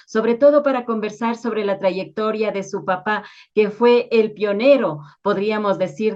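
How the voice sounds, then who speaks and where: Woman interviewer, dull lo-fi sound. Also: medium pitch. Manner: courteous, formal. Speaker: interviewer and show host. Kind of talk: formal interview.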